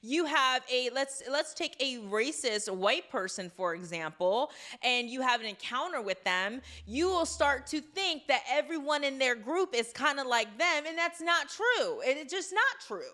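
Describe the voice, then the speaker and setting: Bright, emphatic woman lecturing. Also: sits high, lively intonation, measured pace, few pauses. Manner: emphatic, lecturing, direct address. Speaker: social commentator in a played clip. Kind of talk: opinion monologue.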